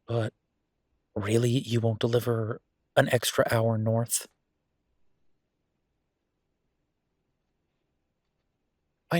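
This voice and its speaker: A male actor as an exasperated but affectionate boyfriend who runs a restaurant, in an intimate audio roleplay. His voice is husky and mid-pitched, recorded very dry. He talks in a conversational, halting way, as if to his partner. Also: pushed and bright, no echo.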